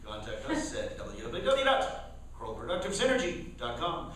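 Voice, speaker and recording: A man's voice: on the high side, huge pitch swings, moderate pace, fairly bright tone. A man announcing sponsor spots for a live radio theater in a mock commercial style. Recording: echoey hall, distant mic, faint noise.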